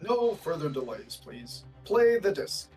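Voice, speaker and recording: A man's voice: a little high, sing-song, a little slow, over loud music. A man voicing a stuffy, exasperated judge in a courtroom video game, formal and pompous. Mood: solemn.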